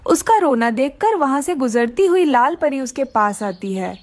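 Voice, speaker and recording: A woman narrates a children's story from a script. Her voice is high, with very lively rises and falls. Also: moderate pace.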